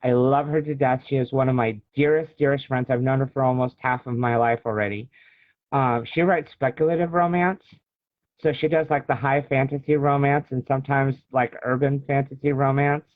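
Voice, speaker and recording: A man's voice: medium pitch, level tone, normal speed, dry recording. An American man in his early forties, an author chatting casually as the guest in an interview. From Illinois, United States. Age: early 40s. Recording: thin, phone-like video call sound.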